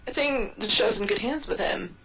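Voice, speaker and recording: Bright, hesitant woman, off-mic. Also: a little high, moderate pace, creaky, breathy edge, poor audio. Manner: hesitant, thinking aloud. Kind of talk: casual podcast chat.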